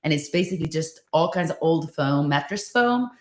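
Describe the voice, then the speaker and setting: This is a woman from Finland with a low voice, chatting in a relaxed, rambling way. She uses lots of fillers and laughs easily. The sound is roomy and echoey. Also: a little fast. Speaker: guest running a school in Tanzania. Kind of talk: casual interview chat.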